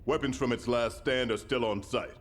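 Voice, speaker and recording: A man playing a stern, commanding general in a video game, speaking with measured authority. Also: medium pitch, steady tone, close to an everyday voice. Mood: stern, businesslike. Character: stern, commanding male army general briefing troops. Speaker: military commander. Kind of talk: video game cutscene acting.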